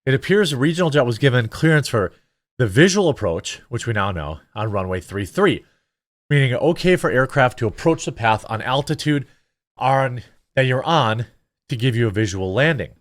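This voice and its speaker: A man hosts a casual news commentary in an average-pitched voice. He talks conversationally, thinking aloud with some hesitation. The recording is dry and close to the microphone. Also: lively intonation, moderate pace, no room sound. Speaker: commentator and show host.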